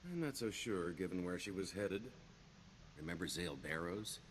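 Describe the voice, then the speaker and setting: An actor playing a roguish, glib smuggler and con artist in a video game, with a wry, theatrical delivery. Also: male voice, low voice, animated, normal speed, slight background noise. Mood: sly, wry. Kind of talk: video game character dialogue.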